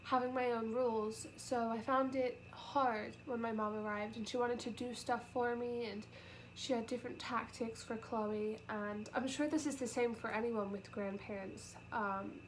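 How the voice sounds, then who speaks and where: A young woman speaks into her camera at home, stuffy and sniffly from a cold, and close to tears. Her voice sits a little high, and she talks softly, pausing often and trailing off. A faint hiss runs under the recording. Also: fairly even intonation, rough recording. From United Kingdom. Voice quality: sniffly, nasal. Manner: halting and soft-spoken, with many pauses and fillers. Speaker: vlogger. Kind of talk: emotional personal vlog.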